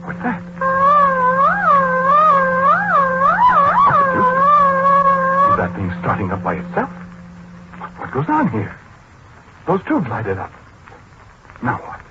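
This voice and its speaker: An American actor playing a fussy, exasperated middle-aged scientist who speaks hesitantly and excitably in a 1940s radio drama. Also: male voice, on the high side, measured pace, pauses often, heavy background noise. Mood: startled, alarmed. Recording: muffled 1940s radio broadcast with music.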